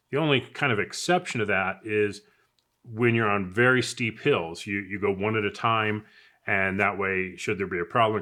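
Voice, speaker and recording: A man's voice: lowish voice, bright, forceful tone. An American man speaking conversationally, giving casual advice and opinions as a product reviewer talking to viewers. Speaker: product reviewer and off-road video host. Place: indoors in a house.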